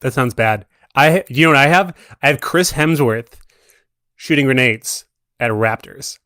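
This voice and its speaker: A man's voice: medium pitch, unhurried, sharp tone, no echo. Man chatting casually in a dry, close sound. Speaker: podcast co-host. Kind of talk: casual chat.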